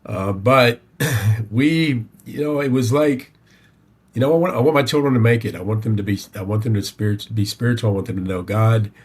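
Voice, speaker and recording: Low-voiced Southern man telling his story. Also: normal speed, husky. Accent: Southern American, Tennessee. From Tennessee, United States. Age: middle-aged. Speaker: podcast guest. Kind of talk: conversational personal storytelling.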